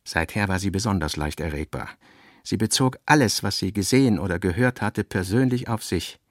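A man with speech reads literary narration for a radio play in a measured, articulate manner. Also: low voice, animated, no room sound, clean studio sound.

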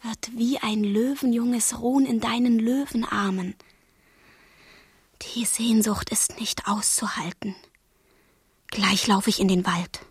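An actress playing a passionate, wilful thirteen-year-old girl in love, in a literary radio play, with a breathy, husky, intense delivery. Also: a little high, fairly even intonation, slow pace, long pauses, no echo, exaggerated voice. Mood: yearning, reproachful. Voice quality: breathy, husky, often near a whisper. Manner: intense, demanding, dramatic delivery.

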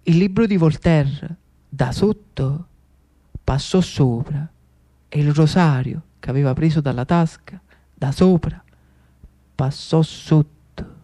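A man with a Neapolitan accent narrating a historical monologue in a dramatic, expressive storytelling manner. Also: on the high side, takes it slowly, long pauses. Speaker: actor and storyteller.

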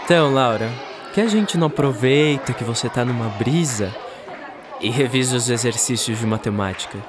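An actor plays an easygoing, scatterbrained schoolboy, the teasing best friend in a radio drama. The pitch is middling. Music and a busy crowd sound behind the voice. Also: a little fast, lightly acted.

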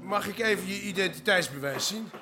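An actor playing an indignant squatter who challenges and protests in a radio drama. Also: male voice, high-pitched, lively intonation, brisk, background noise.

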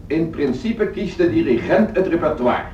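A man's voice: fairly high pitch, animated, normal speed, darkish tone, natural voice. A man acting in a radio drama as a stern, condescending orchestra conductor who speaks formally and commands his pupils. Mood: condescending, firm. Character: stern, authoritarian music school orchestra conductor, losing patience. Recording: echoey, distant, dull noisy radio recording.

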